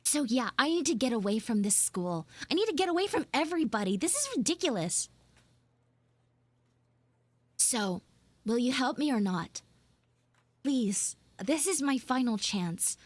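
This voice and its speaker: Woman putting on a high-pitched, flustered tsundere schoolgirl. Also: very animated, no echo. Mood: desperate. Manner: halting, stammering, dramatic, grumbling. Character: prickly tsundere schoolgirl, flustered, pleading, defensive. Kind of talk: improvised live audio roleplay.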